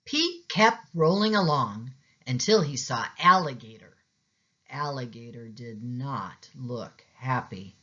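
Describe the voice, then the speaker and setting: A woman reading a children's storybook aloud as a teacher, with expressive narration. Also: rumbling low, slow. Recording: slightly dull, lo-fi sound.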